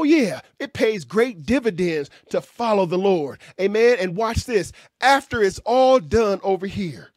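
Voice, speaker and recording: A man in his fifties leads a Bible class in a fervent, folksy preaching cadence, punctuating nearly every phrase with an amen or hallelujah. His voice sits fairly high for a man. It is recorded dry and close on a stand mic in a small room. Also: no echo. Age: 50s. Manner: emphatic call-and-response preaching with frequent amens; folksy, conversational, gestures with hands. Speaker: pastor. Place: small indoor room with curtain backdrop. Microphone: stand mic in front.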